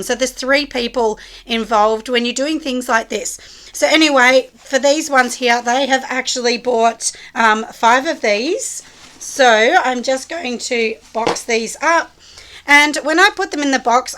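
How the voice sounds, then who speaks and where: A woman who makes soap, chatting with viewers as she packs orders in her small studio, husky and hoarse from a cold. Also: on the high side, sharp tone. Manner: chatty, talks straight to the viewers. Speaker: soap maker running a small business. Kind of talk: casual chat while packing orders. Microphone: camera set low on a surface.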